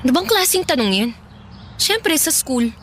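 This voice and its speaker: A woman's voice: high-pitched, very animated, moderate pace, noisy background. A woman playing a rebellious, grieving teenage stepdaughter in a radio drama, talking back with bitter sarcasm. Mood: irritated, defiant. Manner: sarcastic, insolent back-talk. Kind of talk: Tagalog radio drama acting. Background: background music.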